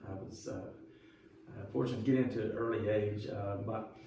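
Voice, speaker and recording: A man's voice: fairly low pitch, fairly even intonation, moderate pace, dark tone, distant mic. A friendly man rambles through his answers with plenty of fillers in an easy interview chat. He sounds set back from the mic in a very echoey room, with faint noise underneath. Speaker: guest musician being interviewed. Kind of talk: casual interview talk.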